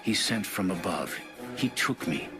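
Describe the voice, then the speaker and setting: Male scripture narrator, deep and solemn, measured and unhurried delivery, clear music underneath. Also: expressive. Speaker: Bible narrator.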